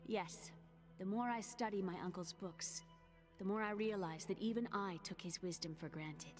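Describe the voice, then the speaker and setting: A woman's voice: mid-range voice, normal speed, music in the background, lightly acted. A woman playing an earnest, thoughtful young female scholar in a fantasy video game. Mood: earnest, reflective.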